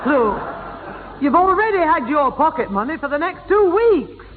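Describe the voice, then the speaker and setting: A brisk, exasperated mother with a very high, lively voice that is quick to scold. It has the thin, tinny sound of old radio comedy. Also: heavy background noise. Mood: firm. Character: firm, exasperated mother of a naughty boy. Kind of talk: 1960s British radio sitcom acting.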